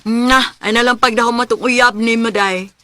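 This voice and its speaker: Tabian nga dalagang medyo taas og tingog, giarte sa babaye. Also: female voice, a little high, steady tone, normal speed, over loud music, natural voice. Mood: gossiping. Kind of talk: Cebuano radio drama acting.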